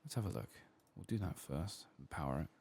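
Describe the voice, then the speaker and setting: A man giving soft-spoken ASMR commentary as he plays a game, quiet and relaxed, murmuring to himself. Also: deep voice, brisk, creaky, slight background noise. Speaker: gaming ASMR host.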